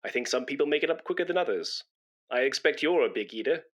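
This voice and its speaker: An actor in a comedy radio play, playing a pompous, self-righteous, boastful busybody who sounds self-important and wheedling. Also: male voice, medium pitch, animated, fast, close to an everyday voice. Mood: indignant, needling.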